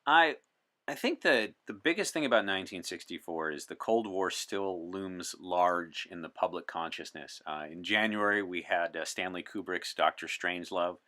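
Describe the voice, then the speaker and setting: A man's voice: sits low, normal speed, bright, forceful tone. A man speaking as an interview guest, explaining things in an articulate, erudite way. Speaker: podcast guest in the persona of a time traveler from 1964. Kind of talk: in-character interview talk on science fiction and current events.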